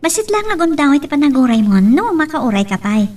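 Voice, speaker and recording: A coy young woman being courted in an Ilocano drama, acted by a woman. Her voice is very high and swings a lot, with an Ilocano accent. It is recorded slightly away from the mic. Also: sing-song, brisk, darkish tone, far from the mic. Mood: coy, teasing. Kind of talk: Ilocano audio drama acting.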